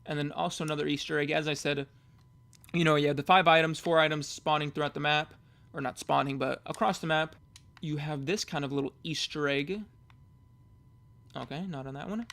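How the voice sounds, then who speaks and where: Gravelly young man, rambling game tour. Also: fairly high pitch, fairly even intonation, brisk, a bit bright. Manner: rambling, self-correcting, casual. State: getting hoarse. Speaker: gamer giving a world tour. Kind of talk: casual game commentary.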